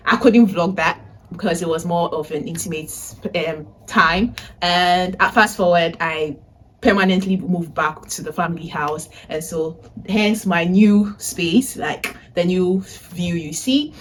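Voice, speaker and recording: A woman's voice: fairly low pitch, unhurried, slight background noise, low-quality recording. A woman in her twenties talking casually to viewers in a chatty vlog style, often checking in with a tag question. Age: 20s. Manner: chatty, addresses viewers directly, often tags phrases with "right?". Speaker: vlogger and data analytics teacher. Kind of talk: casual vlog to camera, recap of personal updates. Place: indoors, a room in a family house.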